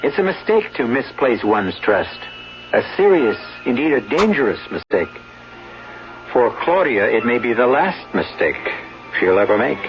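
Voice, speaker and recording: A man hosting a radio mystery with measured, ominous scripted narration on a muffled old recording. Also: mid-range voice, very animated. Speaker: host and narrator. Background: loud music.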